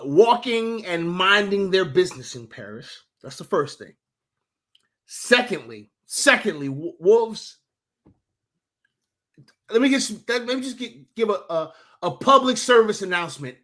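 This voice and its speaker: A high-pitched man hosts a loose sports talk stream, cursing freely as he rambles through shout-outs. His voice is bright and forward, and he often restarts his sentences before landing the point. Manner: profane, rambling, with many restarts.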